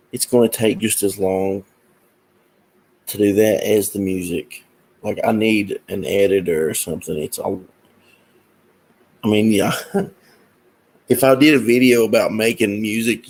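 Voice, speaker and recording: A man talking in a relaxed, rambling way, full of fillers and restarts. His voice sits low, with a gravelly rasp and a husky, breathy texture. It sounds like someone chatting to a small audience of regular viewers. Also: rough recording. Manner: rambling, meandering, with many fillers. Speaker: musician and comic creator. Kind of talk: casual talk to camera.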